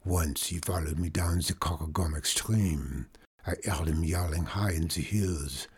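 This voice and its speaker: A man voicing a superstitious French trapper with a French accent, telling a ghost tale in a hushed, dramatic whisper. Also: low voice, unhurried, slightly rough, voice pushed down, exaggerated voice. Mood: fearful whisper. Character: superstitious male French trapper, fearful storyteller.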